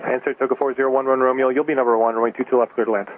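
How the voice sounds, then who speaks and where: Fast-talking male tower controller on radio. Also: medium pitch, level tone. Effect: radio transmission. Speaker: air traffic tower controller. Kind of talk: radio traffic control phraseology. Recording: narrow, lightly noisy aviation radio.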